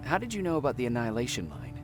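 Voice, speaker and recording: An actor playing an earnest, terse resistance soldier in a video game, with a plain, businesslike manner. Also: male voice, mid-range voice, wildly swooping pitch, very fast. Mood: curious. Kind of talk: video game drama acting. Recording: loud game music behind the voice.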